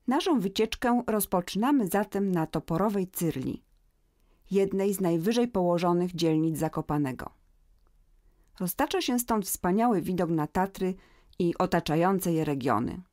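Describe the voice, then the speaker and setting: A woman narrating a scripted educational audio guide in a measured, informative manner. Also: lowish voice, darkish tone, no room sound, studio quality.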